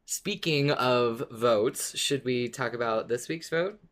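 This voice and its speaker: A podcast co-host chatting casually and enthusiastically about a favourite story, quick to giggle. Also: mid-range voice, a bit bright. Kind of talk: casual fan podcast discussion.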